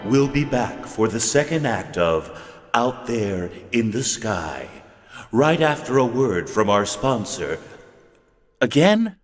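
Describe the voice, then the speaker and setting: A man's voice: medium pitch, very animated, takes it slowly, faint music behind. A man playing a pompous, wry announcer, in the theatrical manner of an old-time radio show. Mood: exasperated. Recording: long reverberant hall sound.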